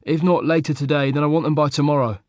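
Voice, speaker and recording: A man voicing a tense, prickly young man who talks in a clipped, impatient way, raised above his narrating pitch and a bit louder. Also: steady tone, fast, no room sound, close to an everyday voice.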